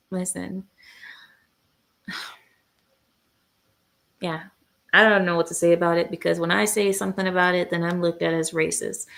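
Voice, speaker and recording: A woman's voice: on the low side, vocal fry, fairly bright tone. A woman hosting a casual livestream, bluntly giving her opinions and replying to viewer comments. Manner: blunt and opinionated, with frequent hesitations. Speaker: livestream host.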